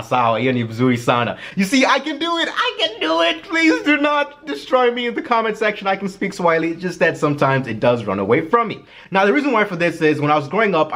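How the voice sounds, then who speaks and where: Young Kenyan-accented man, early twenties, vlog host; lively, swooping delivery with self-deprecating jokes. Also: mid-range voice. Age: early 20s. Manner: chatty, animated, self-deprecating humor. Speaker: vlogger. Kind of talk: casual personal storytelling to camera.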